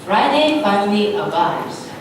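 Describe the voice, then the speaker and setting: A woman's voice: medium pitch, very animated, measured pace. A young woman reading scripted narration on stage, recorded from far off in a reverberant hall. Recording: reverberant hall, distant mic, faint noise.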